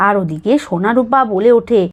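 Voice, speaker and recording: A woman's voice: medium pitch, a little fast, breathy edge. A woman narrator reading scripted narration for a children's story.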